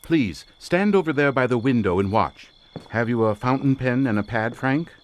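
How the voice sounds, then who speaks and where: An actor playing an intense, imperious occult researcher in an audio drama, speaking in a formal, grandiloquent, theatrical manner. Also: male voice, mid-range voice, expressive, moderate pace, lightly acted. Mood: intense, instructing.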